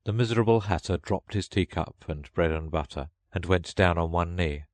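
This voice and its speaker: A man's voice: low-pitched, fairly even intonation, normal speed, dry recording. A man narrating a children's storybook in a scripted read-along style.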